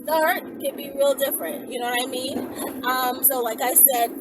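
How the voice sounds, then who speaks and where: A woman in her thirties talks casually in an instructional vlog, with a high, animated voice. She explains conversationally and often stops to check in. The mic sounds distant, and there is loud vehicle noise around her. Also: normal speed. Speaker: vlogger giving instructions. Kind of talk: casual instructional talk to camera. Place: inside a car, daytime. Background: vehicle ambience.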